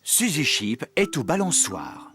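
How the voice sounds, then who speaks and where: A man narrating a French-dubbed children's cartoon as a clear storyteller for small children. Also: on the high side, wildly swooping pitch, unhurried, over music. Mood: calm, storytelling.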